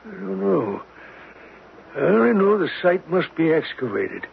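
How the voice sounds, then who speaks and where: An actor playing a scholarly older professor in a 1970s radio drama, speaking with a hesitant, deliberate manner. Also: male voice, high-pitched, sing-song, vocal fry, heavily acted. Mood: intrigued. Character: scholarly older male professor, absent-minded yet eager. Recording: noisy, tinny old radio broadcast.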